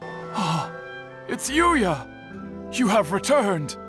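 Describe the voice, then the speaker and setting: A slow, lively-toned smithy elder. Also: male voice, high-pitched, music in the background, full character voice. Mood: glad. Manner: slow, thoughtful, expressive.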